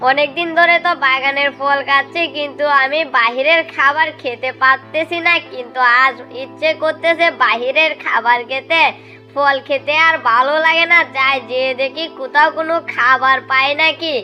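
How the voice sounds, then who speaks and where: A woman's voice: high-pitched, steady tone, moderate pace, lo-fi sound, faint music behind, lightly acted. A woman voicing a proud but generous jackal in a storybook cartoon, casual and self-satisfied. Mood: craving, eager. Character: fruit-loving, proud but generous jackal orchard owner.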